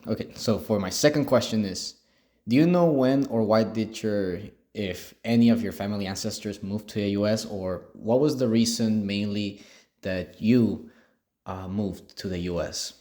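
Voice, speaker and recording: A man's voice: on the low side, normal speed, mellow. A young man interviewing for a class project, casual, with frequent likes and restarts. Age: young adult. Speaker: student interviewer.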